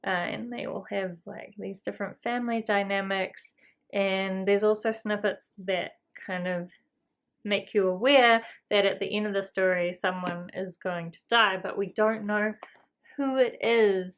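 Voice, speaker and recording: A woman's voice: medium pitch, steady tone, measured pace. A woman chatting casually to camera as a book vlogger, rambling along with self-deprecating, trailing asides. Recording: slightly dull lo-fi home recording.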